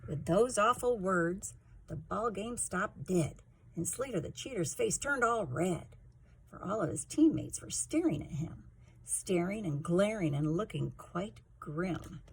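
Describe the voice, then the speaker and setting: A woman's voice: fairly low pitch, expressive, a little slow, gravelly, breathy edge, rough recording. A woman reading a rhyming children's story aloud in a theatrical, emphatic way. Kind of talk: expressive rhyming storybook narration.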